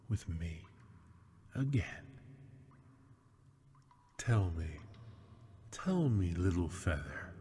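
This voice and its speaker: A man playing a seductive, taunting demon prisoner in an ASMR roleplay, husky and breathy, teasing in mock-sweet tones and often sinking toward a whisper. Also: low-pitched, a little mellow, a little hiss or hum, full character voice. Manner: slow, teasing, mock-sweet, lingering on words. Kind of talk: ASMR roleplay, scripted monologue. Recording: long hall-like reverb.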